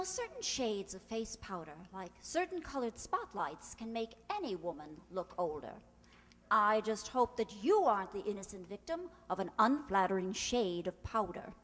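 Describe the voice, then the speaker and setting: A woman acts a gracious beauty spokeswoman in an old-time radio advert. She is measured and persuasive, with a mid-pitched voice that rises and falls freely. Long hall reverb trails her words. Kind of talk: vintage radio commercial. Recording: reverberant hall stage recording.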